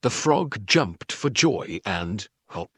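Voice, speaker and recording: Animated, unhurried male storyteller. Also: lowish voice, dry recording, studio quality. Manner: expressive, dramatic. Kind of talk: animated children's read-aloud narration. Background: background music.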